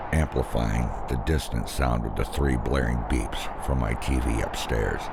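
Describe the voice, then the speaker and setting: A man narrating a scripted first-person horror story in an ominous, deliberate way. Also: very deep voice, creaky, very noisy.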